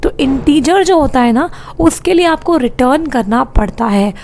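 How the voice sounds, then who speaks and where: A woman's voice: high voice, lively intonation, normal speed, a little mellow, a little hiss or hum. A woman teaching programming in an online exam-prep class, with a sore throat, explaining step by step and repeating key points.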